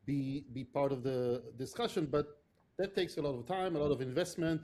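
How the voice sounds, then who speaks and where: A middle-aged man answers interview questions as a product leader. His voice sits a little high but sounds warm and mellow. He talks thoughtfully and often restarts his sentences or fills pauses. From Israel. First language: not English. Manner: thoughtful, many restarts and fillers. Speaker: podcast guest, product leader.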